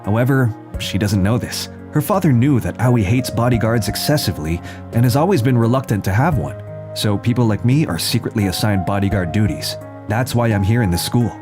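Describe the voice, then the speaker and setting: A man's voice: lowish voice, expressive, brisk, natural voice. A man voicing an earnest teenage boy, a secret bodyguard posing as a meek student, in a manga dub. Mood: earnest, reflective. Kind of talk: anime-style manga dub, acted dialogue and narration. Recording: music behind the voice.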